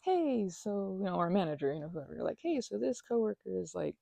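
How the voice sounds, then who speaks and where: Woman acting as weary but playful roommate girl, asmr roleplay, soft raspy voice, super dry close mic, kinda rambly and hesitant. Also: mid-range voice, very animated, fast talker, dry recording. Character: chatty, supportive female housemate, weary from a migraine. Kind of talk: intimate ASMR roleplay, casual conversational delivery. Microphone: close microphone, dry.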